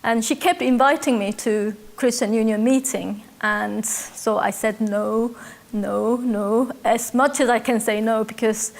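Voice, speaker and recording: A woman in her forties from South Korea with a Korean accent, speaking English as a second language and calmly telling her life story in an interview with hesitant pauses. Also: a little high, vocal fry. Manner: reflective, measured, with hesitant fillers. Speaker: interviewee, church member. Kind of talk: personal testimony interview.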